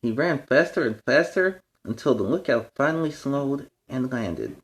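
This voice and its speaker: A man with a medium-pitched voice hosts story time for kids. His intonation is very lively and varied. He sounds playful, like a superhero talking to young fans, and adds little explanations as he goes. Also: normal speed. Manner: explains words and lessons to young listeners. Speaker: children's story time host. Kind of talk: animated children's storybook read-aloud with chatty asides.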